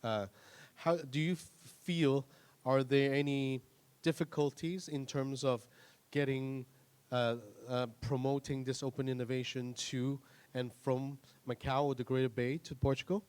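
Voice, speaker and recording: A man with a middle-pitched voice acts as moderator of a panel. He introduces speakers and puts questions to them politely. His phrasing is halting, built up piece by piece. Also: level tone, a little mellow, clear, resonant tone.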